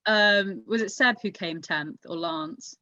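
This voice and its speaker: Excitable woman chatting, slightly muffled recording. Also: medium pitch, measured pace, dry recording. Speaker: motorsport podcast host. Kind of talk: casual race review chat.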